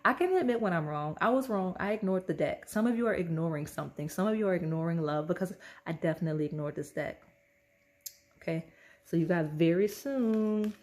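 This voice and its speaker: A woman with a slight rasp, hoarse and losing her voice, chatting casually through a tarot card reading and often asking "okay?". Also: lowish voice, brisk, darkish tone. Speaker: tarot reader.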